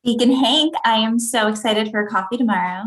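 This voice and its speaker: A woman plays a sweet, flirty lady of sixty in a comedy read. Her voice is fairly high and chirpy, heard in a roomy, echoing space. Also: moderate pace, a bit bright, natural voice. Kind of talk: comedy script table read. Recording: echoey room.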